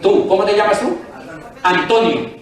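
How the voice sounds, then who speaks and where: A man's voice: sits high, expressive, unhurried, distant mic. A Colombian man giving a persuasive sales presentation to a live audience, asking them questions directly. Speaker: business presenter. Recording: echoey room, speaker away from the mic.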